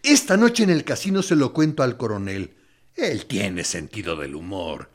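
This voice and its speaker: An actor playing a smug military interrogator in a radio play, speaking in a theatrical, sardonic, mock-courteous way with quiet menace. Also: male voice, on the low side, sing-song, a little hiss or hum. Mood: condescending. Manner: deliberate, sardonic, condescending, with theatrical pauses. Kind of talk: theatrical radio drama monologue.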